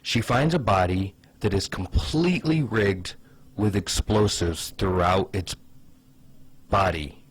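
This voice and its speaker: A man hosting a casual comedic commentary video, pitching ideas in a wry, sarcastic, opinionated way. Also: takes it slowly, husky.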